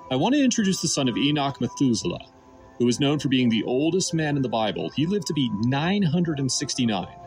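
A man narrates scripted religious teaching with a lively, swooping intonation. His mid-range voice is emphatic and declarative, set over faint music. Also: medium pitch, rough recording. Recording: music under the voice. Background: background music.

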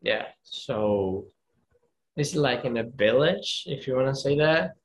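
Guy, talks slow and halting, looking for the right english word. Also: medium pitch, animated. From Guatemala. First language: Spanish. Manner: halting, searches for English words. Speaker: music producer guest. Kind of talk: casual interview chat.

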